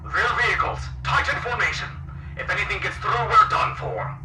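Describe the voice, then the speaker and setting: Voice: mid-range voice, normal speed, natural voice. An actor playing a tense, blunt military first sergeant in a sci-fi radio drama, barking urgent battle orders. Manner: urgent, barked exclamations. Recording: roomy, distant, music behind.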